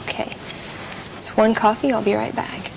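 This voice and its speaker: A woman reading a server's part in a scripted practice dialogue, polite and clearly spoken. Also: fairly high pitch, flat delivery, moderate pace, background noise. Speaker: dialogue reader playing a server.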